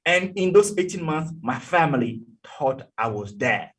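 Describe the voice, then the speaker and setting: Husky, animated man from Togo. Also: fairly high pitch, measured pace, far from the mic. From Togo, West Africa. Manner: animated, emphatic storyteller who repeats words and says "okay?". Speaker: guest, youth advocate. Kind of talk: storytelling interview. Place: indoor room, video call.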